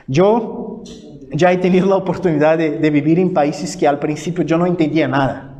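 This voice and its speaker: A man's voice: a little high, steady tone, normal speed, warm tone. A man from Brazil, a native Portuguese speaker with a Brazilian accent, giving an informal church lecture in a didactic way with frequent checking tag questions.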